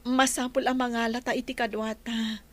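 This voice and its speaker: A woman's voice: on the high side, little pitch movement, moderate pace, a bit bright, close to an everyday voice. A woman playing an earnest, plaintive young mother in an Ilocano Filipino radio drama. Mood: calm, resolute.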